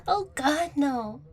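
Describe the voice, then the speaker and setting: A woman voicing a playful, affectionate young catgirl girlfriend in an audio roleplay, teasing and coy, speaking closely to the listener with a breathy voice. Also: very high voice, exaggerated voice.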